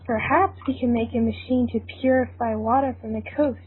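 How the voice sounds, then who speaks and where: A woman's voice: fairly high pitch, fairly even intonation, fairly quick. A woman reading scripted fan fiction narration as an amateur narrator. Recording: tinny, band-limited, noisy amateur recording.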